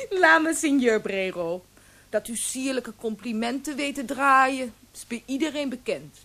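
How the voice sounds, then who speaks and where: Female shrewd, saucy innkeeper in radio play; high voice, teasing, self-assured. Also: animated, a little slow, pushed and bright, exaggerated voice. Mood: teasing, amused. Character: saucy, warm-blooded, shrewd female tavern keeper. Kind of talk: Dutch radio play acting.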